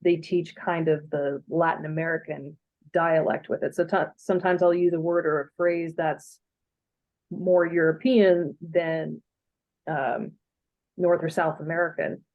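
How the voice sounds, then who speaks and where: A woman's voice: deep voice, flat delivery, a little slow. A woman teaching Spanish who explains her point with examples in a group discussion. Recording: dull lo-fi sound.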